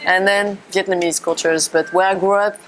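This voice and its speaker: A woman's voice: mid-range voice, steady tone, moderate pace, fairly bright tone, low-quality recording. Thirties Frenchwoman recounting her dance career in English, hesitant and filler-heavy. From France. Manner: hesitant, many fillers and restarts. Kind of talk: casual personal account.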